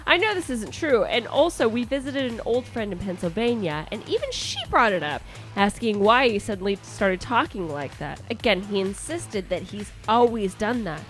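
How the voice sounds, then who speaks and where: A woman's voice: medium pitch, normal speed, faint music behind, poor audio. A woman with a Midwestern accent hosting a casual comedy podcast, reading a listener letter and riffing on it with lots of restarts. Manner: animated, lots of restarts and asides. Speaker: podcast host.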